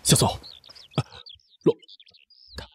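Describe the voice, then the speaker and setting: A man playing a wounded young army officer, anxious for his friend, weak and halting, in a military radio drama. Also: close to an everyday voice. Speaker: amateur audio drama voice actor. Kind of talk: Republican-era Chinese military radio drama.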